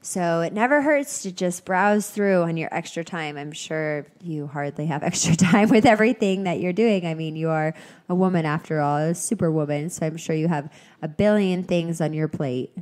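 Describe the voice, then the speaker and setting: A young woman talks casually on her own podcast, upbeat and rambling with lots of little fillers. Her voice sits a touch low and comes out slightly nasal and congested, as if she is getting over a cold. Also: normal speed, a little mellow. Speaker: podcast host. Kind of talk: casual solo podcast chat.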